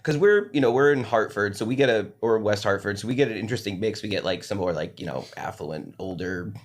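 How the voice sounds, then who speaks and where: A man's voice: fairly low pitch, fast. A man talking casually as a comedian guest on a podcast, telling anecdotes in a conversational, rambling way.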